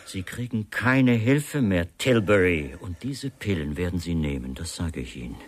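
An actor playing a coldly methodical, mocking murderer in a radio crime drama, speaking deliberately and insinuatingly, often dropping to a murmur. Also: male voice, low-pitched, animated. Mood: coldly menacing. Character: coldly methodical murderer, American writer husband, mocking and controlled. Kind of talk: German radio crime drama acting with interior monologue.

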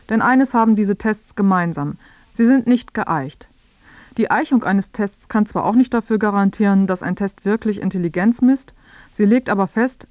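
A woman's voice: medium pitch, a little mellow, no echo. A woman reading scripted narration for a radio documentary. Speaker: feature narrator.

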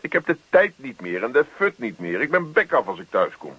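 Casting: exasperated middle-aged husband, worn down by office stress. Husky, swinging delivery, radio drama. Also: male voice, medium pitch, very animated, brisk, a bit bright, no room sound. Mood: defensive, weary. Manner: grumbling, sarcastic, exasperated. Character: grumpy, weary middle-aged husband, stressed personnel manager. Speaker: actor. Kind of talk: naturalistic radio play acting. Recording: dull, dry radio play recording.

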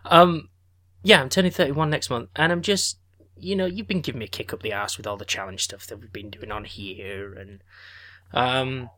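A man's voice: medium pitch, moderate pace, a bit bright. A man around thirty, co-hosting a podcast in casual chat, joking and swearing freely. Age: 30s. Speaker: podcast co-host.

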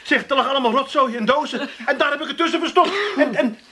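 An actor playing a grumpy, muddled, hapless small-time crook in a comic radio play, in a plaintive way. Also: male voice, extremely high pitch, fast, bright, forceful tone. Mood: urgent, irritated. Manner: plaintive, grumbling.

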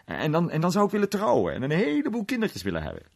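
A man playing a worldly film actor in a radio drama, recounting an unsettling memory in a conversational, sometimes hesitant, theatrical way with a husky voice. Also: high voice, wildly swooping pitch, fast, full character voice. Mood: wistful. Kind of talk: radio drama storytelling in character.

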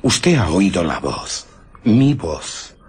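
A man's voice: deep voice, sing-song, takes it slowly, a little mellow, breathy edge, heavily acted. An actor playing a scornful, impatient invisible man in a radio drama, sardonic and commanding. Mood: insistent.

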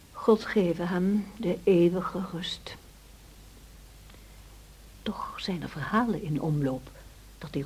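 An actress playing a loyal, anxious housekeeper who recounts past events in an earnest, hesitant way for a radio drama. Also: female voice, low voice, a little mellow, a little hiss or hum, low-quality recording. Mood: solemn. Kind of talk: radio drama narration, storytelling to a listener.

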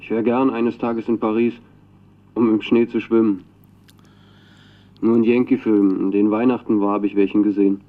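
A man's voice: on the low side, flat delivery, moderate pace, long pauses. An actor playing an earnest, naive young man speaking a ceremonious recorded message in a radio drama, through a narrow tape filter. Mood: earnest, eager. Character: earnest young Chilean man, devoted admirer of a poet.

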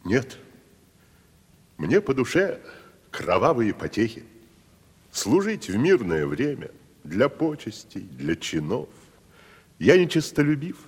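An actor playing a pompous, worldly wandering gentleman who declaims theatrically in a classic Russian radio drama. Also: medium pitch, sing-song, slow pace, long pauses, slightly rough, full character voice. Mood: solemn, lofty. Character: worldly, theatrical wandering gentleman, grandiloquent nephew of a rich landowner. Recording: long hall reverb.

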